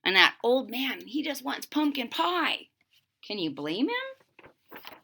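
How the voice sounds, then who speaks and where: Woman storybook reader, high voice, slow deliberate delivery, animated, child-directed asides. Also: vocal fry, noisy background. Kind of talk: expressive read-aloud for young children, with asides.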